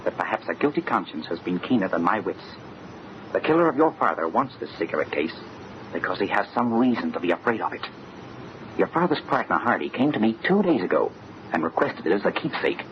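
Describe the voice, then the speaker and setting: An actor playing an earnest, agitated young fiancé in an old-time radio mystery, speaking with dramatic insistence. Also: male voice, low-pitched, big pitch swings, a little fast. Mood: brooding, intent. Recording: muffled, noisy old radio recording.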